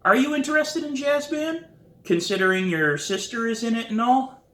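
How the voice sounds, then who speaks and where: Storybook schoolgirl drummer, earnest and jittery, read by a man. Raised pitch, roomy sound. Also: animated, moderate pace, a bit bright. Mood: curious. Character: sixth-grade drummer girl, earnest and nervous. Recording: roomy echo.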